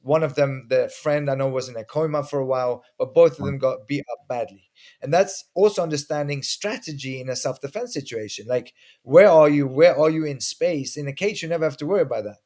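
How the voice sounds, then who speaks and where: A man's voice: a little high, brisk, a little mellow. A middle-aged man from Sweden, a guest explaining self-defense in a casual interview with earnest stories and frequent restarts. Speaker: guest, martial arts instructor.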